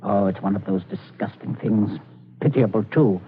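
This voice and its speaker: An actor playing a ruthless middle-aged father who calmly threatens a man at gunpoint, in 1940s radio drama style. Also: on the low side, moderate pace, slight background noise, natural voice. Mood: menacing calm. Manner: calm, clipped, coldly threatening. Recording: tinny old radio broadcast.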